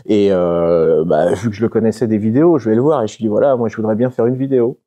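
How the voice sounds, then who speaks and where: A young adult man from France, a guest talking casually in an interview, halting and full of fillers. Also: lowish voice, mellow. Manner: halting, many fillers and restarts.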